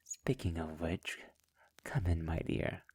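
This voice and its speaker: A man's voice: low-pitched, fairly even intonation, darkish tone, dry recording, heavily acted. A man acting a sadistic, possessive vampire master in an intimate ASMR roleplay, speaking in a silky, teasing, condescending manner that often slips toward a whisper. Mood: silky, commanding. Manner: slow, teasing, often dropping into breathy whispers; silky, formal, condescending endearments. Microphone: close mic in a dry, quiet space.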